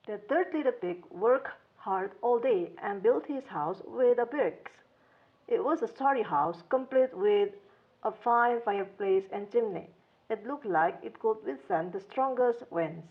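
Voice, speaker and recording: A woman's voice: a little high, animated, unhurried. A woman storyteller narrating a children's storybook tale, on a dull recording. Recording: dull lo-fi sound.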